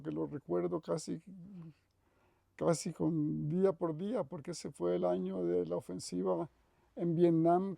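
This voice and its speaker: An older man with a Costa Rican accent recalling his life in an interview, thoughtful and measured, often pausing to correct himself. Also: high voice, gravelly, mellow, no room sound. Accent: Costa Rican Spanish. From Costa Rica. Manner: thoughtful, measured, with frequent restarts and self-corrections. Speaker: retired professor interviewed. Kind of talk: reflective interview reminiscence.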